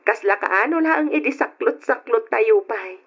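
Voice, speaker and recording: A woman's voice: a little high, animated, moderate pace, fairly bright tone. A woman playing a devoted, hopeful young single mother with an Ilocano accent, earnest and emotional in an old-time radio drama. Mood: happy, earnest. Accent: Ilocano, from the Philippines. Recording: dull lo-fi old radio recording.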